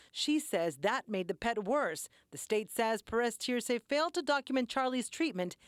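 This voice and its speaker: A woman's voice: on the high side, smooth, dry recording, clean studio sound. A woman reading a scripted investigative television news report as the reporter.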